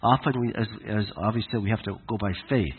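A man with a cold and a raspy voice, giving a conversational, halting sermon to his congregation. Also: lowish voice, steady tone, narrow, tinny audio. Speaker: congregation leader. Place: congregation hall in Alberta.

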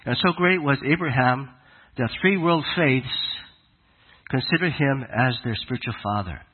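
A man with a cold and a raspy voice, giving a conversational, halting sermon to his congregation. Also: mid-range voice, narrow, tinny audio. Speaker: congregation leader. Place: congregation hall in Alberta.

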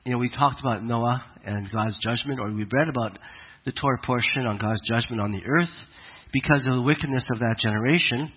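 A man with a cold and a raspy voice, giving a conversational, halting sermon to his congregation. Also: medium pitch, narrow, tinny audio. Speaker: congregation leader. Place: congregation hall in Alberta.

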